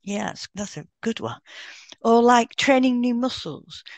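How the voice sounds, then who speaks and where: A woman's voice: fairly high pitch, animated, measured pace, no echo. A woman co-hosting an educational podcast, reading scripted explanations in an instructive way. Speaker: podcast co-host, hypnotherapist. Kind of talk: scripted educational podcast read aloud in turns.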